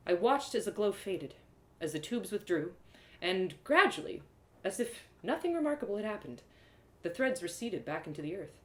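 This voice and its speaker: A woman giving an expressive, theatrical reading of a first-person fantasy story as a storyteller. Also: fairly low pitch. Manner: theatrical, dramatic phrasing. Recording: roomy echo.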